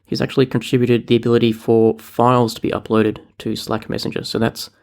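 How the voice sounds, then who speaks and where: A man hosting a tech podcast, talking casually and chattily with a relaxed manner. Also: mid-range voice, brisk. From New Zealand. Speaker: podcast host.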